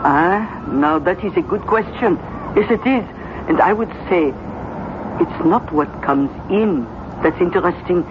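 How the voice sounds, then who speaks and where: An actress playing a gossipy, chatty French fishmonger in a 1970s radio drama, with a folksy, conspiratorial manner. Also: female voice, lowish voice, lively intonation, measured pace. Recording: noisy, muffled 1970s radio broadcast.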